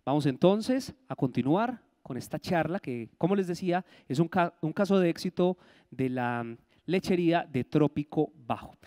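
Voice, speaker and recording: A male event emcee at a podium introducing the next speaker in a formal, measured announcer's delivery, holding a handheld mic. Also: on the high side, expressive, mellow, clean studio sound. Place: conference hall podium. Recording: long hall reverb.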